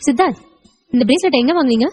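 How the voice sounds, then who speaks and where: Woman acting an emotional young wife, high-pitched. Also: lively intonation, rapid-fire, a little mellow, slightly rough, faint music behind. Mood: puzzled. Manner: emotional, earnest. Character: hurt, sensitive young wife and daughter. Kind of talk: Tamil audio story acting.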